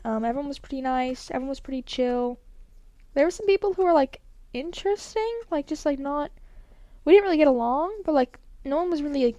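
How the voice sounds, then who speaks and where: Rambling teen girl with a high voice. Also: mellow, no echo. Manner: rambling, many "like" fillers. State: congested from a cold. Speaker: vlogger. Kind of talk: casual storytelling.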